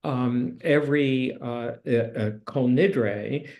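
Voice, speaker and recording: A man in his seventies teaching a course, talking in a halting way with many restarts and fillers, and reading passages from a book aloud. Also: medium pitch, dull audio. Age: 70s. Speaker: course instructor. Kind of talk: lecture with read-aloud passages.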